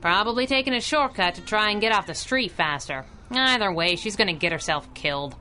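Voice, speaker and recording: A woman's voice: medium pitch, animated, a little fast, bright, forceful tone, slight background noise. A woman playing a plucky, wisecracking young crimefighter sidekick in a 1930s radio drama, slangy and sarcastic. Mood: alert.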